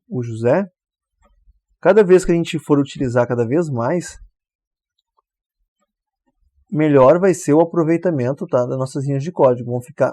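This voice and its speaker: Relaxed man teaching code. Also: fairly high pitch, no echo. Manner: relaxed, explains step by step, often checks with "tá?". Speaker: programming tutor. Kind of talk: screen-recorded coding tutorial.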